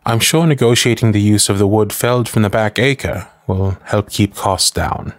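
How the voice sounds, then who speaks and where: Man playing a low-voiced, formal, devoted manservant. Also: no echo, lightly acted. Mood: calm, deferential. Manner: formal, deferential, measured, drily disapproving. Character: devoted, protective manservant to a noble lady. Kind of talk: scripted ASMR roleplay acting.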